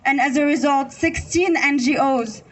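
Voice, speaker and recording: A woman's voice: high voice, normal speed, low-quality recording. A woman from Lebanon giving a prepared speech at an outdoor rally, listing points one after another in an earnest way. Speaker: rally speaker. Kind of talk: prepared speech read to a crowd. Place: outdoor public square.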